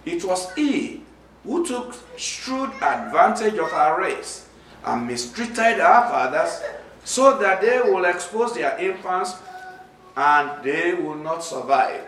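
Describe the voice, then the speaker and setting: A man with a Nigerian accent preaching a sermon, speaking slowly and distinctly and reading scripture aloud. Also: sits high, big pitch swings, a bit bright, slight background noise. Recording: echoey room, from far off.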